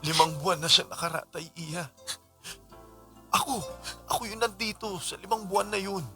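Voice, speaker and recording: A man's voice: sits high, normal speed, pushed and bright. An actor as a pleading lovelorn Filipino man over music. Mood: hurt, tender. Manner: pleading, emotional, intense. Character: passionate lovelorn man pleading with a married woman. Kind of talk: Tagalog radio drama acting.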